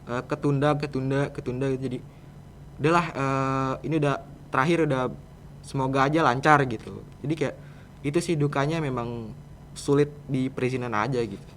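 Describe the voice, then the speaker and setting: A man's voice: medium pitch, steady tone, brisk, fairly bright tone, slight background noise. A teenage male student with a Jakarta Indonesian accent, answering casually and a little hesitantly as a podcast guest. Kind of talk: casual interview chat.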